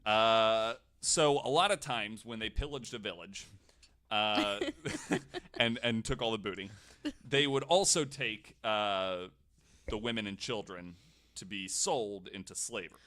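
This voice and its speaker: Male podcast host, lower register, crisp bright edge, loose comedic storytelling with wry asides. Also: a little slow. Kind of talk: casual comedic storytelling from notes.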